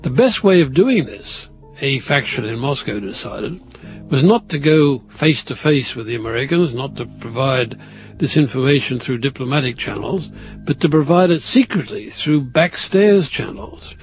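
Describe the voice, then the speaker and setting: A man speaking as a documentary contributor, explaining history in a deliberate, emphatic way. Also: medium pitch, lively intonation, faint music behind.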